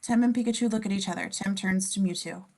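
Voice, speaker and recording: A woman's voice: medium pitch, fast, a little mellow. A woman narrating scene descriptions from a script at a table reading. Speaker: stage directions narrator.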